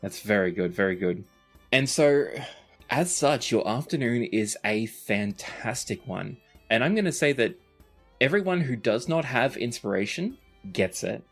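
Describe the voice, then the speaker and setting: A man's voice: mid-range voice, lively intonation, measured pace, a bit bright, faint music behind. A man narrating a tabletop roleplaying game as game master, improvising scenes in a conversational way.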